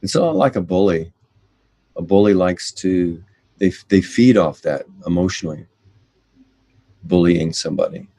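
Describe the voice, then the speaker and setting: A man in casual conversation, telling a story with jokes and drawn-out exclamations. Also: low voice, creaky, dark tone, no echo.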